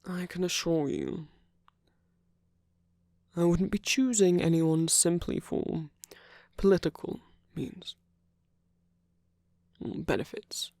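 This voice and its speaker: A man playing a gentle, nervous king in an intimate ASMR roleplay, speaking formally and earnestly with soft hesitations. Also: fairly high pitch, takes it slowly, vocal fry, pauses often, no room sound. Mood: candid, hesitant. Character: gentle, nervous king confessing love to a prince.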